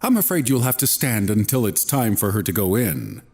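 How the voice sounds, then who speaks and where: A man's voice: lowish voice, very animated, normal speed, a little mellow, a little hiss or hum. A man voicing a large, genial, bearded vampire club member in a horror story, formal and polite. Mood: courteous, formal.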